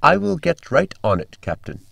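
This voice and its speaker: A man's voice: fairly high pitch, huge pitch swings, moderate pace, vocal fry, a bit bright, dry recording, exaggerated voice. A man playing a droll, unimpressed spaceship crewman in a sci-fi sitcom audio drama. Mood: mock obedient. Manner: droll, sardonic.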